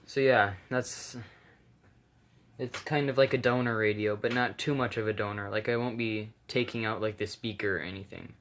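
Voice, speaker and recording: A man's voice: lowish voice, fairly even intonation, moderate pace, fairly bright tone. A man giving a casual, unscripted hands-on walkthrough, hesitant and rambling, often correcting himself. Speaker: hobbyist vlogger. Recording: noisy handheld camera recording, clicks.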